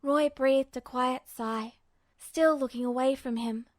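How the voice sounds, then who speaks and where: A woman's voice: high-pitched, fairly even intonation, unhurried, no room sound, studio quality. A woman reading scripted fiction aloud as the narrator of a story. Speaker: podfic narrator.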